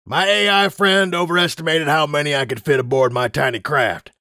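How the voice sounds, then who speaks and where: A man's voice: fairly high pitch, fairly even intonation, a little fast, pushed and bright, dry recording, clean studio sound, voice pushed up. A man voicing a nervous, self-serving male griffin pilot in a pony storybook. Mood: gruff.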